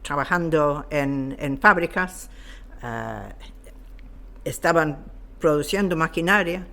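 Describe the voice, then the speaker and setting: Slow, halting man explaining history. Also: on the high side, pushed and bright. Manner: halting, searching for words. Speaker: interview guest.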